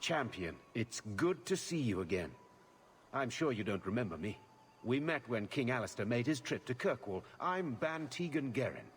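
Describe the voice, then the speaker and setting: A man's voice: mid-range voice, very animated, moderate pace, a little hiss or hum, natural voice. A man playing an earnest middle-aged nobleman in a fantasy game, formal and polite. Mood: courteous.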